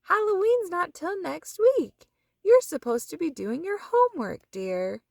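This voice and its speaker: A woman's voice: extremely high pitch, very animated, unhurried, gravelly, no echo, studio quality, voice pushed up, exaggerated voice. A woman voicing a fond but firm, teasing mother frog in a storybook, scolding sweetly.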